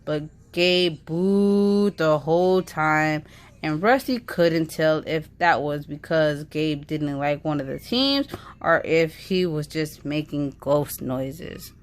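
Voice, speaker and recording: A woman reads a children's book aloud. Her voice sits low for a woman, and she takes the story at an unhurried, slow pace, narrating it as a read-aloud. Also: clear, resonant tone.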